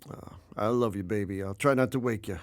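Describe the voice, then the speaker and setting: A man's voice: lowish voice, brisk, a little hiss or hum, natural voice. An actor playing a busy, affectionate father and husband who hedges and hesitates in a period audio drama.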